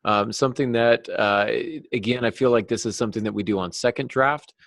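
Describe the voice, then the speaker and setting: A man's voice: medium pitch, steady tone, a little fast, fairly bright tone, no room sound, studio quality. A man co-hosting a podcast in a casual, conversational way. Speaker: podcast co-host and novelist.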